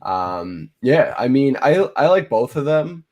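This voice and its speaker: A man's voice: medium pitch, sing-song, measured pace, darkish tone, dull audio. A man with a stuffy, nasal voice from a cold, hosting a casual podcast chat and rambling with lots of fillers. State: congested from a cold. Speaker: podcast host.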